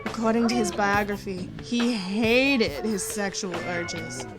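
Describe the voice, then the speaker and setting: A woman's voice: mid-range voice, slow pace, natural voice. A woman playing an educated, matter-of-fact porn star lecturing in a scripted comedy sketch. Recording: music under the voice.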